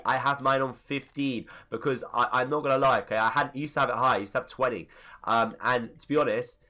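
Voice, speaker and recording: Gaming YouTuber, male, bright tone, bunged-up nose, rambling tutorial delivery. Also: medium pitch. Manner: chatty, rambling asides. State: congested from a cold. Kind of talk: casual settings tutorial voice-over.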